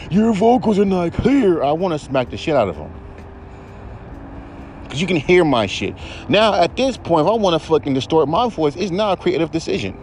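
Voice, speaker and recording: A man with a baritone voice hosting a podcast, ranting in a heated, profane, rambling way. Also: darkish tone, slight background noise. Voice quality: deep baritone voice. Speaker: podcast host. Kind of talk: casual podcast rant.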